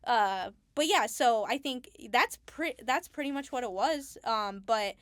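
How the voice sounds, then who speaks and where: A woman being interviewed as a Foley artist, talking casually and haltingly as she thinks aloud. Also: on the high side, animated, fairly bright tone, no echo.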